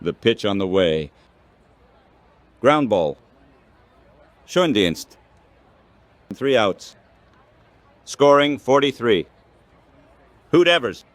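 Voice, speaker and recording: A man's voice: mid-range voice, sing-song, drawn-out words, pauses often, no room sound. A synthetic text-to-speech man's voice calling baseball play-by-play in a radio style, in short clipped phrases.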